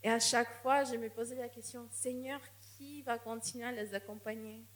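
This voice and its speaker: A woman preaches in a church, and her voice sits a little high. She speaks in an earnest, personal tone and often restarts a phrase before going on. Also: steady tone, normal speed. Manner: earnest, testimonial, with frequent restarts. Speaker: guest preacher. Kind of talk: sermon.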